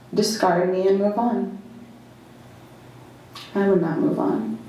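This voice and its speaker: A woman performing a first-person dramatic monologue at a live reading, in short, deliberate, halting phrases with emphatic repetition. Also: fairly low pitch, warm tone. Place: indoor live performance in a club. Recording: very echoey live venue.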